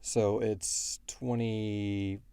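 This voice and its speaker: A man's voice: lowish voice, level tone, very slow, warm tone, smooth, no echo. A man chatting casually as he explains story lore in a rambling way, like a creator answering listeners. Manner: rambling, quotes characters in brief asides.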